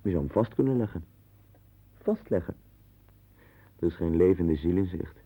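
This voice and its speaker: An actor playing a blunt, domineering, somewhat pompous friend who lectures, in a 1960s Dutch radio play. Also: male voice, low-pitched, expressive, normal speed, breathy edge. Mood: musing, hearty. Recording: tinny, band-limited 1960s radio recording.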